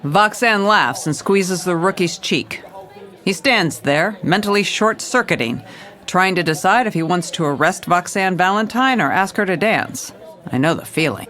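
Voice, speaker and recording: An actress playing a world-weary, dogged female police commissioner, narrating noir-style in a dry, deadpan way. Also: fairly low pitch, normal speed. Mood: dry, determined. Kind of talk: noir detective narration and dialogue.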